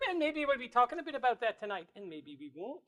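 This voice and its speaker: Big-voiced man leading a lively evening. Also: very high-pitched, quick pace, noisy background. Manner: playful, laughs often, builds energy. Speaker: spiritual workshop presenter. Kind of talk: lively talk to a live audience. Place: bookstore event room.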